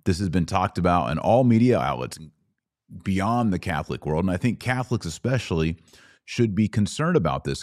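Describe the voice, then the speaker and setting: An American man with a deep voice delivers news commentary as a podcast host. He talks straight to his listeners in an emphatic, conversational way, and the sound is dry and close. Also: darkish tone, dry recording. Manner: conversational, emphatic, rhetorical questions. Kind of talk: news commentary to a live online audience, reading out quotes.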